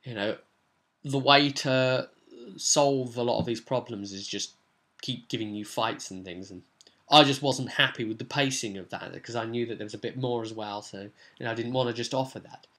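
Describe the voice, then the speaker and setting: A man's voice: medium pitch, normal speed, fairly bright tone. A man speaking as a game master, talking through a tabletop role-playing session in a rambling, conversational way with bursts of emphasis. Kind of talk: informal vlog recap and advice on a tabletop role-playing session.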